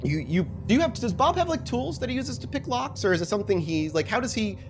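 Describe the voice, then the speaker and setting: A man's voice: very high voice, very animated, fast talker, over music. A man running a tabletop roleplaying game as game master, narrating scenes descriptively and conversationally.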